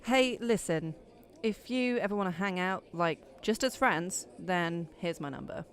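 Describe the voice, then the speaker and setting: A woman's voice: fairly low pitch, unhurried, lightly acted. A woman playing a guarded, sarcastic but kind young woman in an audio drama, speaking in a casual, wry way. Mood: friendly, apologetic.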